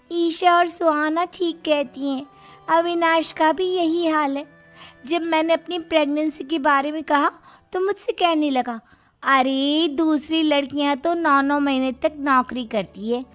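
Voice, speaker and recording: A high-pitched young wife, played by a woman pleads and whines helplessly in a story cartoon. Soft music runs behind the voice. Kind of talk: moral-story cartoon voice-over.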